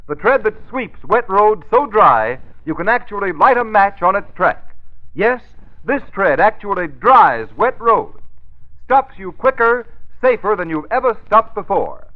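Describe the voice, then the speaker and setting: High-pitched, animated vintage radio pitchman. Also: a little slow. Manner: emphatic salesman delivery. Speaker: radio announcer reading a tire advert. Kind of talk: 1930s-40s radio advertising pitch. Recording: tinny old radio recording.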